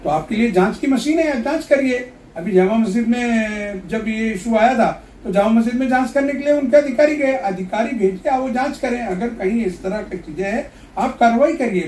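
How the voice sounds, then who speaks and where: A man's voice: very high voice, moderate pace, a little mellow. Guy arguing politics in an interview, emphatic, echoey and far from the mic. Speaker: opposition party politician giving an interview. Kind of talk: spoken political interview, argumentative explanation. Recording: echoey room, distant mic, background noise.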